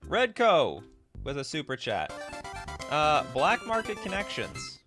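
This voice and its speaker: A man hosting a casual live stream, reading aloud and thinking out loud with frequent exclamations. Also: fairly high pitch, wildly swooping pitch, slow, over music. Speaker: host of a card game live stream.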